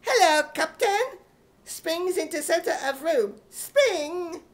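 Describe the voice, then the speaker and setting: A man's voice: very high-pitched, big pitch swings, slow, pitched above the performer's own voice, exaggerated voice. A man voicing a naive, boastful little schoolboy in an imitation British accent for radio comedy. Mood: proud, excited. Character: naive, boastful little schoolboy who reads his stage directions.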